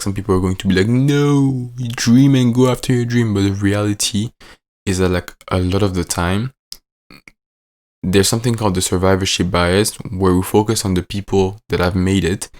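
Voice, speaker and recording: A man's voice: on the low side, a little mellow. A man at the end of his teens with a French accent, a native French speaker, talking in a casual, rambling way with lots of fillers and restarts. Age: late teens. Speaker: vlogger. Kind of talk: casual reflective vlog.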